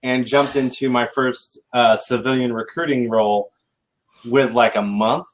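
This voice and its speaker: An American man, middle-pitched, chatting in an online panel. He speaks haltingly, with lots of restarts and fillers, and gets lively and loud when he tells a story. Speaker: recruiting sourcer and veteran. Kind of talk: casual panel chat.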